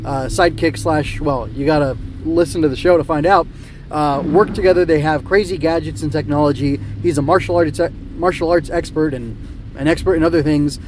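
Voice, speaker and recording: Male podcast host, slightly high voice, digressive and unscripted, faint vehicle-like noise behind. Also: darkish tone. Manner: rambling, self-correcting, digressive. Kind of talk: unscripted solo podcast talk.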